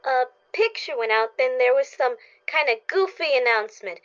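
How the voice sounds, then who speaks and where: Woman as excitable young girl, high voice, insistent, plain-spoken, radio drama, muffled recording. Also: normal speed, faint music behind. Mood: worried, insistent. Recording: dull, lo-fi recording.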